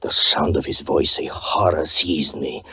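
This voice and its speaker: A man's voice: a bass voice, measured pace, sharp tone, slightly rough, heavily acted. An actor playing a tormented, jealous married stage magician, narrating his downfall in theatrical 1940s radio style. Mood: horrified. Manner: brooding, theatrical, melodramatic. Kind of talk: 1940s radio acting, dramatic first-person narration. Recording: muffled 1940s radio recording with music.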